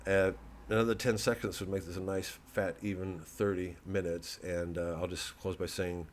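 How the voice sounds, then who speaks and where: A man's voice: deep voice, flat delivery, moderate pace, slight background noise. A man giving a rambling, casual solo monologue as a comedian plugging his work, irreverent, digressive and profane. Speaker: comedian promoting his show and shop.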